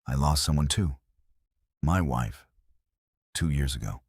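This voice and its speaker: A man with a very deep, husky voice voicing a grieving widowed businessman in his early forties, speaking careful, measured English with a Korean accent. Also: animated, no echo, studio quality, full character voice. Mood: subdued. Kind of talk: storybook narration.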